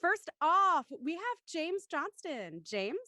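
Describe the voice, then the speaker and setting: A woman's voice: very high-pitched, very animated, takes it slowly, sharp tone, no echo, clean studio sound. A woman hosting a live show with casual banter, playful and self-deprecating as she jokes around. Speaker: host.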